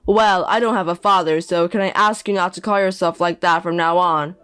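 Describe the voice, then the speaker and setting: A woman's voice: lowish voice, steady tone, brisk, fairly bright tone, smooth, no echo, lightly acted. A woman voicing a young grown son who speaks curtly and coldly with contempt. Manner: cold, curt, contemptuous.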